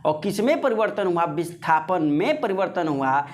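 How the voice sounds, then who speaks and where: Higher-pitched man teaching. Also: sing-song, moderate pace. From India. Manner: conversational, repeats phrases, poses and answers his own questions; addresses viewers as friends. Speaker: physics teacher. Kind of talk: informal explanatory lesson.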